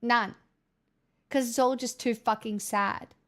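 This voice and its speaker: A young woman chats casually through a livestream, answering viewers, swearing now and then and joking along the way. Her voice is smooth and clear and sits slightly higher than most. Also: fairly even intonation, takes it slowly, a bit bright. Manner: chatty, sweary, joking, answers chat messages. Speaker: livestream host reading chat.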